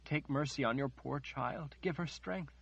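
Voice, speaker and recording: A tearful young woman, a sister, pleads in a raised, husky voice, as a male narrator puts her on. The storybook reading comes through a dull, lo-fi recording. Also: medium pitch, animated, moderate pace, pitched above the performer's own voice. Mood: grieving.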